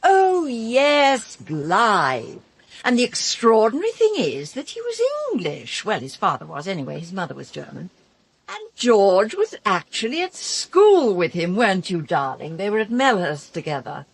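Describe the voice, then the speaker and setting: An actress playing an imperious, theatrical stage-star wife in a radio drama, commanding and pointed beneath sweet endearments. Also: female voice, on the low side, big pitch swings, a little slow, husky, exaggerated voice. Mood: needling.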